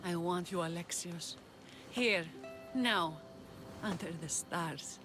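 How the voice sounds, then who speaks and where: A soft-spoken, teasing rebel leader. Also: female voice, fairly low pitch, takes it slowly, over music. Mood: intimate. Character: bold young female rebel leader. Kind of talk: video game cutscene acting. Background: orchestral score.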